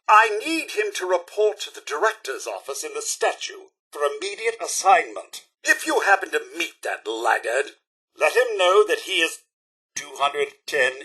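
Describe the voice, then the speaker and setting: An actor playing a polite, fussy robot butler with a British accent in a video game, speaking in formal, over-polite service patter with a husky edge. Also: male voice, fairly high pitch, bright, forceful tone, full character voice. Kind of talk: theatrical video game voice acting.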